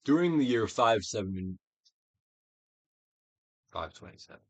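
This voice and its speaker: A man reading a book aloud as a theatrical dramatic performance, in a solemn, deliberate, declamatory manner. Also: fairly low pitch, lively intonation, dry recording. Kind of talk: dramatic audiobook reading, theatrical Shakespearean delivery.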